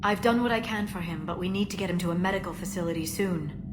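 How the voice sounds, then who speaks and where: A woman's voice: on the low side, fast talker, noisy background, lightly acted. A woman playing an earnest, concerned scientist in a video game cutscene. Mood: grave concern.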